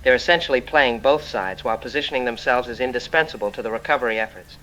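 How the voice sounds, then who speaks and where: A man's voice: mid-range voice, quick pace, pushed and bright, lightly acted. A man playing a serious, analytical investigative journalist giving precise findings in an audio drama. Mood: serious, concerned. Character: serious investigative journalist exposing corporate profiteering. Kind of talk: formal news testimony in audio drama. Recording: muffled lo-fi sound, noisy ambience.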